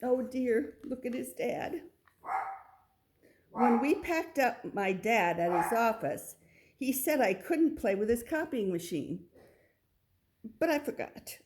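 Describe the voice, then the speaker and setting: A woman reading a children's picture book aloud, with expressive delivery and little asides to the listener. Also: a little high, slow pace, pauses often, a little hiss or hum. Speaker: storybook reader.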